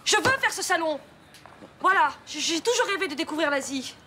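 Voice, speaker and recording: A brash, hot-tempered young woman fires off her lines fast and high. She is outspoken and blunt in a TV soap scene. There is steady background noise behind her. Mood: indignant. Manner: fast, indignant, quick to swear. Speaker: Luna, a business partner. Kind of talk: soap opera acting.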